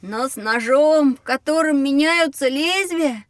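High-voiced cheeky schoolboy. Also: female voice, unhurried, slight background noise. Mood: cocky. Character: curious, cheeky schoolboy Petya. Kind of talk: scripted children's educational audio drama.

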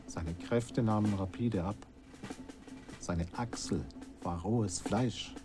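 An actor playing a sombre teller of a fugitive's ordeal in a radio drama, with terse, deliberate phrasing. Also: male voice, on the low side, over music. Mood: grim, weary. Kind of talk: dramatic narration in a radio play.

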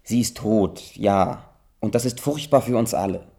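An actor in a radio drama playing an earnest, rationalizing friend, a husky-voiced man who soothes yet insists. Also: on the low side, unhurried, mellow. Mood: insistent, reasoning. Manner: insistent, persuading.

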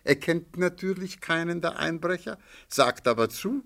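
An actor playing a shrewd amateur sleuth in a radio play, speaking in a measured, politely ironic way. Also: male voice, on the high side, expressive, gravelly, a bit bright. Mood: explaining coolly.

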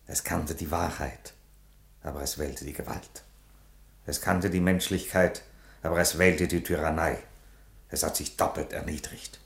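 A man's voice: a bass voice, vocal fry, roomy sound. A man playing a solemn, defiant, fatherly Roman emperor in a theatrical radio drama, declamatory in manner. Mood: grave, accusing. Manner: solemn, declamatory, from whispers to thunder.

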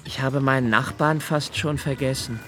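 An actor playing a brooding, solitary male lodger who muses precisely and haltingly in a literary radio play monologue. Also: mid-range voice, fairly even intonation, loud music behind the voice, close to an everyday voice. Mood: quiet, pensive. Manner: deliberate, precise, halting self-corrections. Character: brooding, obsessively observant solitary male lodger, musing alone.

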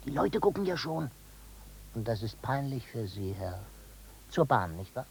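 An actor playing a sly, servile crook who speaks obsequiously and insinuatingly in a 1950s radio drama, often dropping to a whisper. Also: male voice, medium pitch, very animated, unhurried, vocal fry, slightly rough, full character voice. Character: sly, servile male crook feigning deference to his victim.

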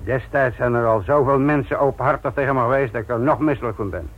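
An actor playing a sardonic, gruff older police instructor in a Dutch radio play, patronising and deliberate in manner. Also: male voice, mid-range voice, natural voice. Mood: gruff, defensive. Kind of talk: Dutch radio drama acting. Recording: thin, narrow-band old radio recording.

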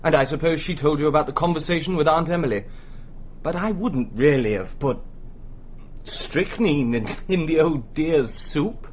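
A man's voice: on the high side, moderate pace, slight background noise, natural voice. An actor playing a flippant, roguish young upper-class Englishman in a radio drama, breezy and charming.

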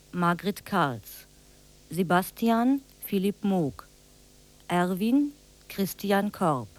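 A female announcer reads scripted credits for a radio broadcast. Her medium-pitched voice is neutral and very slow, each name carefully enunciated. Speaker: announcer reading cast credits.

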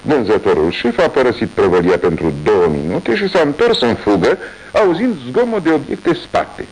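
Eager police inspector in an old radio drama, wildly swooping voice. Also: male voice, medium pitch, low-quality recording. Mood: animated. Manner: animated, storytelling, respectful. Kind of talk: 1980s radio drama acting. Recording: old radio studio recording.

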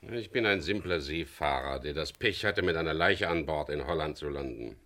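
A wry yacht skipper, low voice, dry and defiant, radio crime drama. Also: male voice, normal speed, fairly bright tone. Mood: defiant, ironic. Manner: dry, challenging, ironic.

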